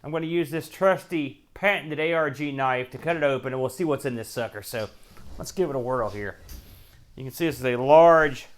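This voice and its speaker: A man's voice: medium pitch, fairly quick. A man hosting a retro gaming show, giving casual, wry unboxing commentary in folksy slang as he thinks aloud while handling items.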